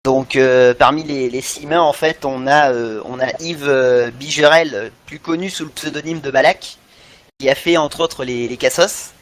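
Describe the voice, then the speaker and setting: A man's voice: medium pitch, moderate pace. A man in a casual podcast discussion, often repeating himself as he searches for words. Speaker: podcast co-host.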